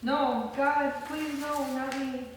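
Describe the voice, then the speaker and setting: Woman playing terrified chained female captive, horror film, high pleading voice, echoey room. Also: fairly even intonation, slow pace, distant mic, a little hiss or hum. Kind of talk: table-read acting.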